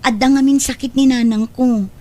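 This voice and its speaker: A woman's voice: high-pitched, fairly even intonation, moderate pace, darkish tone, natural voice. A woman with an Ilocano accent acting a dutiful, apologetic young woman in an audio drama. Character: apologetic, dutiful young woman turning away her suitor.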